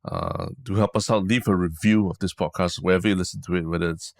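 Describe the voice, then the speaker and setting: A man's voice: low-pitched, steady tone, quick pace, creaky, no room sound. A man in his thirties with a Singaporean accent, co-hosting a podcast, teasing his partner in a dry, hesitant way. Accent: Singaporean English. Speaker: podcast co-host. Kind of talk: casual chat.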